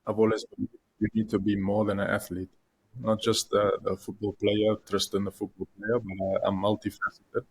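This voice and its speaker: A young man from South Africa speaks in a low, level voice as a podcast guest. He talks in a hesitant way, full of fillers and restarts. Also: monotone, normal speed, slightly rough, poor audio. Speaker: guest, content creator. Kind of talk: podcast interview.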